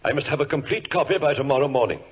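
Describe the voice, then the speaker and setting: An actor playing a grave, commanding senior government minister in a 1940s radio drama, solemn and confidential, with a husky voice. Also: male voice, mid-range voice, animated, very fast, slight background noise, lightly acted. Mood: solemn, secretive. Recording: muffled vintage radio recording.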